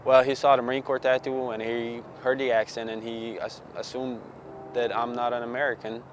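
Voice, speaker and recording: A man from Bosnia with a Bosnian accent, giving an earnest, grateful testimonial with halting restarts. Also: medium pitch, level tone, background noise. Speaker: client. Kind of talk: documentary testimonial.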